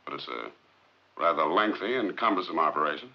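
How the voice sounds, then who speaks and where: An actor playing a blunt, guarded male rocket scientist, curt and measured in 1950s film style. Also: a bass voice, animated, vocal fry, heavily acted. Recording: dull, muffled 1950s film soundtrack.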